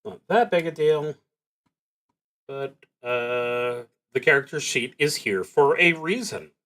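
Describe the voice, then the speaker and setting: Man, slow pace, average pitch, casual solo roleplay stream, musing aloud, halting, dry wit. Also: lively intonation, fairly bright tone. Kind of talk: casual livestream play, reading prompts and thinking aloud.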